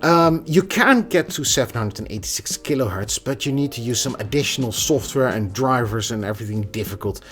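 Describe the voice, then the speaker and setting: A man's voice: mid-range voice, lively intonation, a little fast, few pauses, fairly bright tone, soft background music. A man giving a casual, opinionated tech review in a conversational manner. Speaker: product reviewer.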